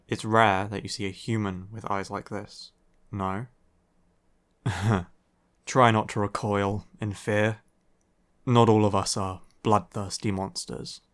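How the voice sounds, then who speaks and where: A man playing a grumpy young male vampire in an intimate audio roleplay, curt and deadpan, with a reluctantly caring streak. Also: on the low side. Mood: curt, sardonic. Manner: deadpan, curt, dry sighing delivery. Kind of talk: audio roleplay, intimate ASMR-style acting.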